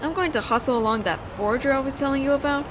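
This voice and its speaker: A woman's voice: sits high, background noise, lightly acted. A woman voicing a flirtatious, doting mafia boss in an intimate roleplay audio drama, teasing and considerate as she talks to her lover. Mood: pleased, confident. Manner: soft-spoken flirting, teasing, pauses as if hearing replies.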